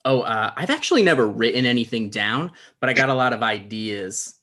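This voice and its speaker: A man's voice: mid-range voice, animated, fairly quick, pushed and bright. A man playing an awkward, self-important online show host in a comedy table read, stammering a little. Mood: eager.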